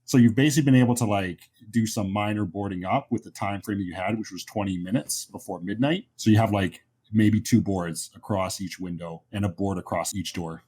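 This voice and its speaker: A man's voice: fairly low pitch, brisk, few pauses, darkish tone, slightly rough. A man acting as game master, explaining the setup of a tabletop horror game to players.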